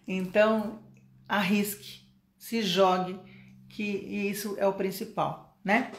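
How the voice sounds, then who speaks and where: A Brazilian woman in a middle pitch leads a live-streamed English lesson. She talks in a chatty, encouraging way and keeps checking in with her viewers. The sound is roomy, with echo around her voice. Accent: Brazilian Portuguese. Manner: chatty and encouraging, asks "né?" and "tá?" often. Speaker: English teacher.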